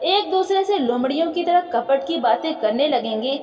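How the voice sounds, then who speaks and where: Imperious demon king voiced by a high-pitched woman. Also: expressive, moderate pace, a little mellow. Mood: delighted, gloating. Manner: imperious, exclamatory. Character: scornful gloating male demon king of unrighteousness. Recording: echoey room with long reverb.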